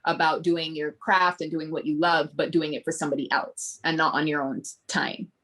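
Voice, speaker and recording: Podcast guest: low-pitched woman with a Barbadian accent, earnest and reflective. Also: little pitch movement, normal speed, fairly bright tone. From Grenada. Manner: flowing, earnest, reflective with many ums. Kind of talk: casual interview talk.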